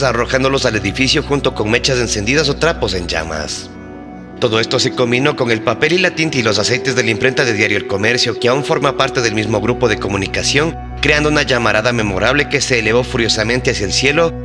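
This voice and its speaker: A man hosts and narrates a scripted mystery documentary. His mid-pitched voice tells the story in a formal, measured, dramatic way, and music plays clearly underneath. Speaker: narrator and host of a mystery channel.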